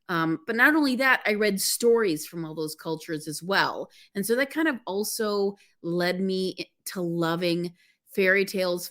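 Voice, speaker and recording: A woman's voice: on the low side, a bit bright. A woman who is a guest on a podcast, talking enthusiastically and laughing as she tells her story. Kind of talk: casual podcast interview.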